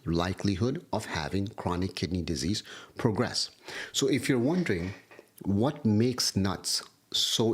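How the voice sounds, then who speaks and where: A man, a doctor, giving a livestream health lecture, hoarse from a cold and walking through studies point by point. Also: fairly low pitch. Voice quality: husky. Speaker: kidney doctor. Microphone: livestream setup.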